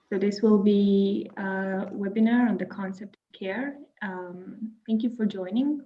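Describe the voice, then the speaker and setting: A young woman from Croatia moderating an online webinar, friendly and hesitant with many fillers. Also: mid-range voice. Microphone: video call. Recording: muffled video call audio.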